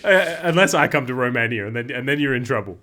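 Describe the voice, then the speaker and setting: A man's voice: medium pitch, expressive, fast talker, bright, forceful tone. A man hosting a gaming video who talks upbeat, laughs often and then interviews a guest. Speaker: host.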